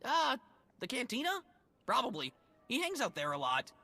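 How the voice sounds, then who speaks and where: A jittery, stammering pirate. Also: male voice, very high voice, huge pitch swings, moderate pace, bright, forceful tone, faint music behind, heavily acted. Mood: nervous. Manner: stammering, nervous laughter. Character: nervous, jittery pirate greeter at a raider cove. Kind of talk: video game cutscene.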